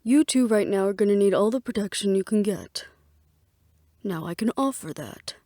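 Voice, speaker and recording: A woman's voice: mid-range voice, animated, normal speed, warm tone, no echo, lightly acted. Guarded, businesslike actress as a woman, close dry sound. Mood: earnest, conspiratorial. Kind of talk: audio roleplay drama, scripted dialogue.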